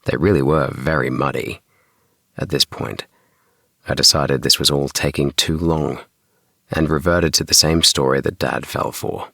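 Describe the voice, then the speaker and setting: A man with a very deep, creaky voice narrating a children's bedtime audiobook in the first person. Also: steady tone, a little slow, sharp tone, no room sound, studio quality. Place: small home recording booth. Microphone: close mic in small DIY recording booth.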